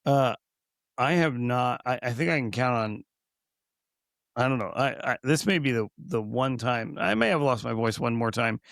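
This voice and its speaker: A man co-hosting a podcast, hoarse from recently losing his voice, chatting casually and rambling through many restarts. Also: mid-range voice, normal speed, fairly bright tone, no echo, studio quality. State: hoarse, recovering from lost voice. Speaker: podcast co-host.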